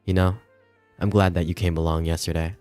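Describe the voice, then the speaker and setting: A man's voice: deep voice, flat delivery, fairly quick, a little mellow, clear, resonant tone, close to an everyday voice. A man voicing a weary, blunt male detective in a visual novel, with a tired, dry way of talking. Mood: earnest. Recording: music behind the voice.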